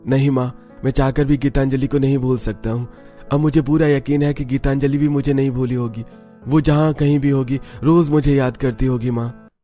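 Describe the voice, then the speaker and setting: A man's voice: medium pitch, steady tone, normal speed, mellow, soft background music, pitched above the performer's own voice, lightly acted. A man playing a heartbroken, lovelorn young husband. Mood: anguished, pining. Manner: pleading, sorrowful. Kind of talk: melodramatic cartoon story dialogue.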